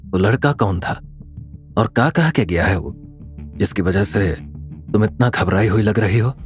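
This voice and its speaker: A man's voice: lowish voice, measured pace, soft background music, natural voice. A man playing a kind, protective young tea seller in an audio drama, speaking politely and earnestly in a rustic way. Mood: concerned. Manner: rustic polite Hindi, calm but firm.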